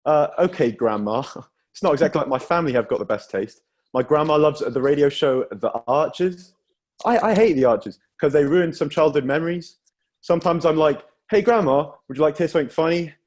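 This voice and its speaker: A man's voice: on the high side, brisk, a little mellow. A young man from Wales performing a self-deprecating stand-up set over a video call, often laughing through his own jokes. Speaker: stand-up comedian.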